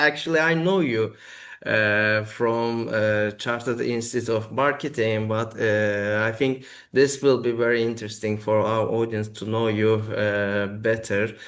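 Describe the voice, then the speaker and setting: A man hosting an interview, asking questions in careful, halting English with many pauses. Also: on the low side, fairly bright tone. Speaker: host, interviewer.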